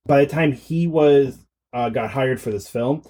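A man's voice: mid-range voice, measured pace, warm tone. A man co-hosting a podcast in casual film discussion, conversational and thinking aloud as he goes. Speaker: podcast co-host.

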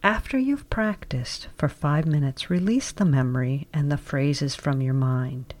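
A woman leading a scripted guided meditation, giving measured, deliberate instructions. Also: low voice, a little mellow.

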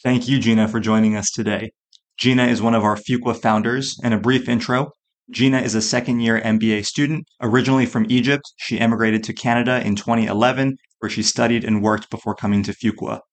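A man's voice: mid-range voice, fairly even intonation, brisk. A man hosting an interview podcast, introducing his guest and asking questions. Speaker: podcast host.

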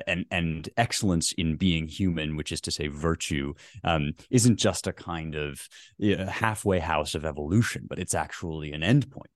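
A man speaking as a guest author in an interview, thoughtful and academic, pausing often with fillers as he reasons. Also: deep voice, dry recording, studio quality. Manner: thoughtful, halting, many fillers.

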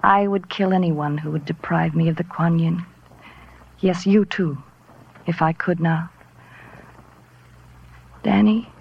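A woman's voice: on the low side, fairly even intonation, slow pace, vocal fry, long pauses, husky, heavily acted. An actress playing an obsessed, murderous woman professor in a 1940s radio drama, dramatic and intense. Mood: resigned, menacing. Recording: dull vintage radio recording.

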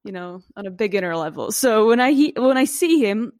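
A woman's voice: mid-range voice, lively intonation, brisk, a little mellow, no room sound, studio quality. A Czech woman in her thirties hosting an interview, curious and friendly, with many fillers. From Czech Republic. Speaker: podcast host. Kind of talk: casual interview chat.

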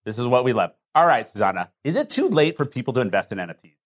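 A man co-hosting a podcast, rapid, excitable and slangy. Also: mid-range voice. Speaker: podcast co-host. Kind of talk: casual hype chat.

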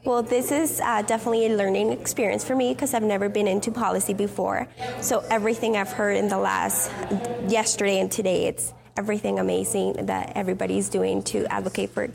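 Woman raised in Mexico, heavy vocal fry, earnest, halting. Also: mid-range voice, little pitch movement, over music. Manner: earnest, hesitant. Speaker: retreat participant.

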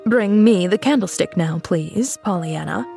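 Woman acting a dry, wryly kind older gentleman. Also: lowish voice, animated, measured pace, vocal fry, faint music behind. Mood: kindly. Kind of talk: storybook character acting.

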